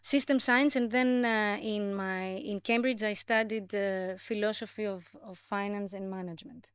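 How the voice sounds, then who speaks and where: A woman from Greece with a Greek accent, speaking reflectively as an interview guest, in a contemplative, gently halting way. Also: mid-range voice, fairly even intonation, clean tone, no room sound, clean studio sound. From Greece, grew up in Athens. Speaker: podcast guest.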